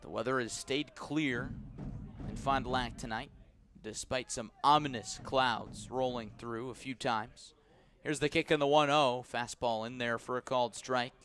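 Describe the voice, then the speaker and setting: A man with an American accent calling live baseball play-by-play on the radio, measured between pitches and rising on big plays. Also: fairly even intonation, fairly bright tone.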